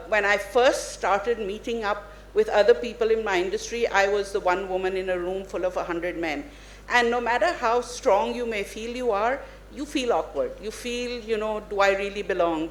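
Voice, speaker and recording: A woman speaking on a conference panel, earnest and persuasive, pausing to think as she talks. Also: medium pitch. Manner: earnest, persuasive, thoughtful with pauses. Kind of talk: panel discussion. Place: conference hall. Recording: echoey conference hall with faint noise.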